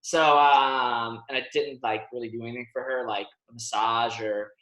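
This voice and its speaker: A man's voice: medium pitch, fairly even intonation, moderate pace, fairly bright tone, roomy sound, poor audio. A man who is a guest fitness coach, chatting easygoing on a podcast over a video call. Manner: easygoing, laughs often. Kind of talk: casual podcast chat.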